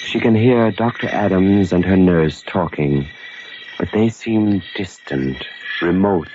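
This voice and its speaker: Deep, slow, solemn mystery narrator. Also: male voice, background noise. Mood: somber. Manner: solemn, theatrical. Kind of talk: scripted 1940s radio narration. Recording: muffled old radio recording, music behind.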